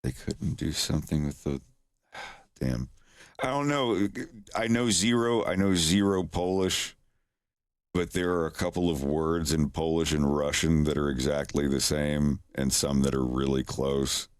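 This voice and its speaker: A man's voice: rumbling low, unhurried, smooth, no echo, clean studio sound. A man chatting casually on his livestream, rambling and chuckling in a self-deprecating way, into a desk microphone at home. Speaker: streamer, animator.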